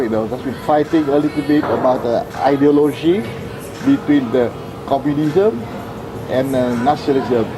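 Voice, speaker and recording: Halting older man from Vietnam. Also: mid-range voice, rough recording. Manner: halting, many fillers and "you know, right?" tags. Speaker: interviewee, former air force officer. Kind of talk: oral history interview.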